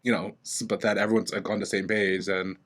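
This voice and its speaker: A man's voice: fairly low pitch, flat delivery, a little fast, fairly bright tone. A young man co-hosting a podcast, chatting casually and laughing often as he recaps. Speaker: podcast co-host. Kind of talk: casual chat.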